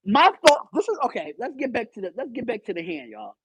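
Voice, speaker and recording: Loud, heated man ranting on stream. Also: very high voice, fast, slightly rough, no room sound, low-quality recording. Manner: loud, animated, swearing, talks back to chat. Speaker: live stream host. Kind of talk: casual live stream rant.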